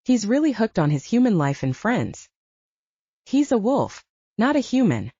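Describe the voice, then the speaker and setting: A woman voicing a calm, reasoning male werewolf in an audiobook, measured and placating. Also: on the high side, breathy edge, no echo, lo-fi sound, voice pushed up, heavily acted. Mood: patient explaining.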